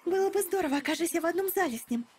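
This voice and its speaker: A woman voicing a gentle, earnest teenage girl in an animated series dub. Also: extremely high pitch, very fast. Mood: wistful.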